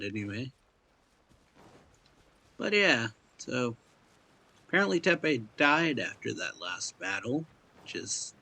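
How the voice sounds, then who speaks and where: A man's voice: lowish voice, sharp tone, a little hiss or hum. A man hosting a gaming video, casually commenting and reading game text aloud in a laid-back way with mumbled asides.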